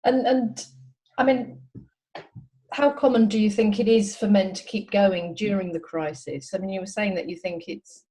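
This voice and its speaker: A woman's voice: mid-range voice, fairly even intonation, normal speed, dark tone, poor audio. A woman interviewing a guest in a conversational style.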